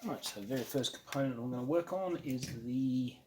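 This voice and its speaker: A man giving casual, unscripted how-to commentary as a hobbyist at work on a build, halting and thinking aloud as he goes. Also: mid-range voice, background noise. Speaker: hobbyist narrating an electronics kit build.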